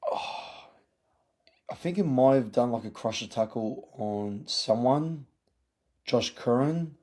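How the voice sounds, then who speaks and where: A man hosting a casual sports review podcast, his voice hoarse from yelling, halting with many fillers and restarts. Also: mid-range voice, animated. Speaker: podcast host.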